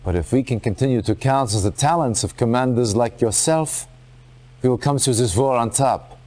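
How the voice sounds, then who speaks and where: A man playing a stern, resolute military commander in a video game, speaking in a formal, dramatic, deliberate way. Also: mid-range voice, a little mellow, close to an everyday voice.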